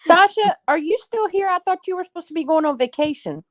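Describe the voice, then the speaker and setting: A woman's voice: extremely high pitch, animated, fast talker. A woman co-hosting a live craft stream, greeting viewers by name and teasing her partner. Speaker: co-host reading viewer comments. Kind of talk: casual live chat.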